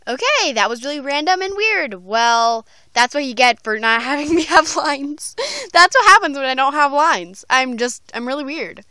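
Woman, goofy self-mocking vlogger, rambling casual chat, bright tone, dry sound. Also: high-pitched, lively intonation, normal speed, no echo. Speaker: amateur voice actor vlogger.

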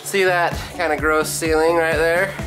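A man's voice: fairly high pitch, fairly even intonation, measured pace. A man in his thirties filming a casual family vlog, playful and encouraging with his kids, holding the camera. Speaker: vlogging dad. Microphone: handheld camera. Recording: background music under camera audio.

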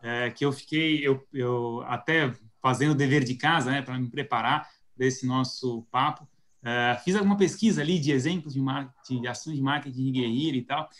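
A man's voice: medium pitch, normal speed, a bit bright. A man in his thirties with a Paulista Brazilian accent, a marketing guest on a live video call, talking thoughtfully with many restarts and fillers. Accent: Paulista Brazilian Portuguese. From São Paulo state, Brazil. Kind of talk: live webinar conversation. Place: home office indoors. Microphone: video call webcam mic.

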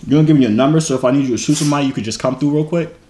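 A man's voice: fairly high pitch, rapid-fire, warm tone. A young man joking and teasing in slangy banter with a stranger on a video chat, egging his little brothers on. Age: young adult. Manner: playful, teasing slang talk. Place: small room indoors. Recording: echoey room with clear background noise.

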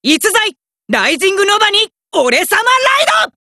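An actor voicing a cocky, hot-blooded young male fighter in an anime card game, rough-talking and arrogant, with emphatic battle cries. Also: extremely high pitch, big pitch swings, moderate pace, bright, forceful tone, no echo, studio quality, exaggerated voice. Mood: fierce, triumphant.

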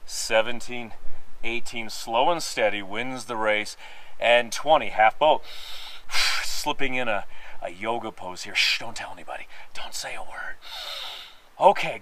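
Guy in his 40s leading pilates outside, kinda nasal, huffing a bit while counting reps, punchy and jokey. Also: mid-range voice. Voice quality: a little nasal. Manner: energetic coaching, counting reps, joking asides. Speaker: fitness instructor. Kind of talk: workout instruction while exercising. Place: outdoor wooden deck among pine trees.

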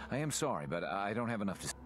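Polite traveler in a game, formal, lively up-and-down voice, noisy audio. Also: male voice, on the low side, rapid-fire. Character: polite male traveler asking directions.

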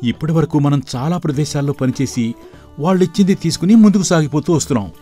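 A man voicing an earnest, excitable young village brother in an animated moral story, with emphatic delivery. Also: a little high, fast talker, natural voice. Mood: eager, earnest. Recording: voice over background music.

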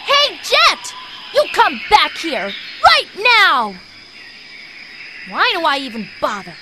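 A woman's voice: very high-pitched, wildly swooping pitch, slow pace, heavy background noise, heavily acted. An actress playing a bossy, clever young female swallow in a video game, exasperated with her crew. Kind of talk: video game cutscene acting.

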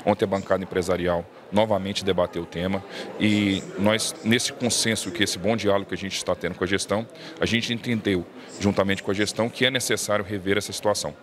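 Low-voiced Brazilian councilman interviewed. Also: a little fast, crowd noise. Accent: Brazilian Portuguese. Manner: steady, earnest, with filler restarts. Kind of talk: press interview. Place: indoor legislative hallway. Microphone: handheld reporter mic.

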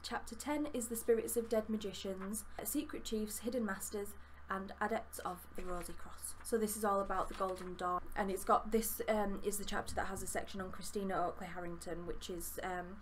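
A woman's voice: medium pitch, fairly even intonation, brisk, hardly any pauses, slight background noise, low-quality recording. A young woman with a Yorkshire accent, chatting enthusiastically while reviewing a book at her desk, with plenty of fillers. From Yorkshire, England. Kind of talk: casual book review vlog. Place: small room with bookshelves, indoors.

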